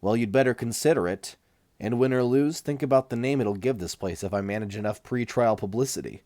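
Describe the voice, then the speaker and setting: A man's voice: medium pitch, fairly quick, darkish tone, no echo, clean studio sound, close to an everyday voice. A man voicing a tough, sardonic patient in an audiobook, blunt and commanding with a threatening edge. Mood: hard bargaining. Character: tough, demanding, sardonic escaped male patient. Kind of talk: audiobook character dialogue.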